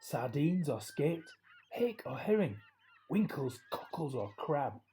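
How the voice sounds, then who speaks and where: Man playing a mellow, loving fisherman father. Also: a little high, sing-song, slow, slight background noise. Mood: playful, affectionate. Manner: tender, coaxing.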